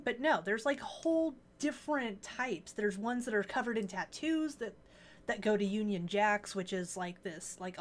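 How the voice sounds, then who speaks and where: A woman streaming, chatting loosely and joking as she plays. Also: mid-range voice. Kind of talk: casual gameplay commentary.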